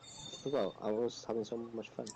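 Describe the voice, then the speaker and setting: A man's voice: on the low side, steady tone, a little fast, gravelly, dark tone. A man co-hosting a podcast, speaking casually and giving informal sponsor reads, on a muffled lo-fi mic. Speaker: podcast co-host. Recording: muffled lo-fi mic with faint noise.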